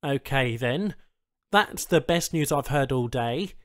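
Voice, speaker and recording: A man's voice: medium pitch, animated, slow pace, no echo, clean studio sound. A man playing an anxious, indignant human captive in a science fiction audiobook, protesting and questioning his captors with wry disbelief. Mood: uneasy, bargaining. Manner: protesting, questioning, wryly incredulous.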